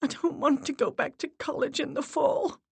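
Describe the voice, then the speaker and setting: A distraught young college student in tears, as a woman narrator puts her on. Her voice rides high and breaks as she pleads, in a dry, slow storybook read. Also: fairly even intonation, creaky, no echo. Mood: pleading. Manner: tearful, pleading. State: crying.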